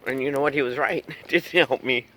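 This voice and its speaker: A man's voice: medium pitch, a little fast, pushed and bright, a little hiss or hum, poor audio. A man in his fifties chatting in a car in a rambling, joking way, chuckling and humming silly tunes. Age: 50s. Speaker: vlogger. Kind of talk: rambling casual chat.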